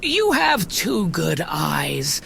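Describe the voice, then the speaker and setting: Gravelly, ruthless old war leader. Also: female voice, on the low side, wildly swooping pitch, drawn-out words, fairly bright tone, slight background noise, exaggerated voice. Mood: scornful. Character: ruthless scarred veteran female warband leader, snappish. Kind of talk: fantasy audio drama acting.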